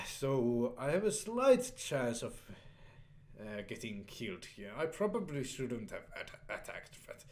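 Young male let's-play narrator, unhurried and halting, lively pitch, reads game dialogue aloud. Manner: hesitant, many fillers and restarts, reads dialogue in flat voice. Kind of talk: casual gameplay commentary, reading game text aloud.

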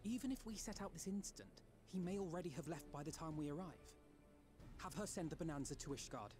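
A man's voice: on the high side, lively intonation, a little fast, music in the background, natural voice. Man acting as light-voiced, formal elf youth. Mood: measured resolve. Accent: British. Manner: formal, archaic diction. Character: earnest, scholarly teenage elf boy, formal. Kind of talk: game cutscene voice acting.

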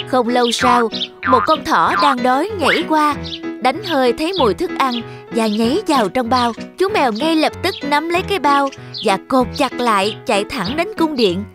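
A woman narrator reading a scripted fairy tale for children. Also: high-pitched. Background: music.

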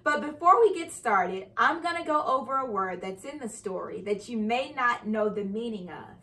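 A young adult woman reading a children's story aloud with expressive, carefully enunciated storytelling delivery. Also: fairly high pitch, moderate pace. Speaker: host and reader of children's read-aloud show. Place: indoor living room by a fireplace.

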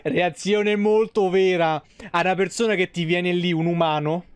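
A man's voice: sits high, steady tone, normal speed, clear, resonant tone. A man in his thirties giving casual, slangy, sweary and sarcastic live commentary on a game. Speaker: game streamer. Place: small room at home, indoors.

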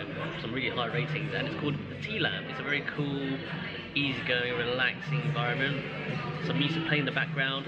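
A man, giving a casual travel vlog in a hesitant, rambling way with repeated words. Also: mid-range voice. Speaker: travel vlogger. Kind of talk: casual vlog chat to camera. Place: busy food market and cafes. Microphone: camera at arm's length. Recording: dull audio, loud public background noise.

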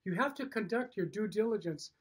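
A man's voice: sits high, expressive, fairly quick, husky. A middle-aged man giving a promotional message to camera as a business owner and host, emphatic, in short punchy sentences. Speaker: factory owner and show host. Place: indoor room with wood-block walls.

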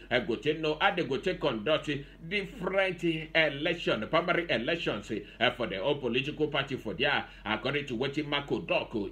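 A young man with a Nigerian accent presents news conversationally into a close studio mic, with a halting delivery full of tag sounds. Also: on the high side, bright, forceful tone. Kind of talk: conversational news reading in Pidgin. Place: small radio studio with acoustic panels. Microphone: close studio mic on boom arm.

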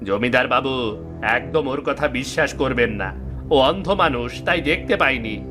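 A man's voice: medium pitch, animated, normal speed, music in the background, close to an everyday voice. A man voicing a sly, lying woodcutter in a cartoon folktale, wheedling as he talks. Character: sly, lying male woodcutter flattering his boss.

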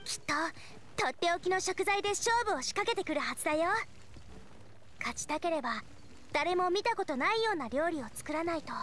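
Bright, very high-pitched eager girl chef. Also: a little fast, a little hiss or hum. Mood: eager, confident. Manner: energetic, expressive, friendly. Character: cheerful, eager teenage girl chef adventurer. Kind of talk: anime game voice acting. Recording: studio voice over game music.

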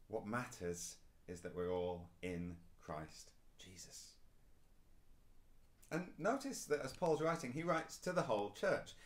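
A man's voice: fairly low pitch, takes it slowly. A man, preaching a recorded sermon to camera in a small indoor room, earnest and emphatic. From England. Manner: earnest, rising and falling emphasis, gestures while speaking. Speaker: pastor.

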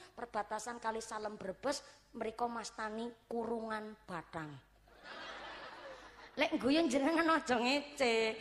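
A middle-aged woman preacher speaks with a strong ngapak Javanese accent from Cilacap. Her voice sits a little high for a woman, and her delivery is animated and playful as she teases a crowd and moves between Javanese and Indonesian. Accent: Banyumasan (ngapak) Javanese. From Cilacap, Central Java. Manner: animated, joking, switches between Javanese and Indonesian, laughs often. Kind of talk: religious lecture to a crowd.

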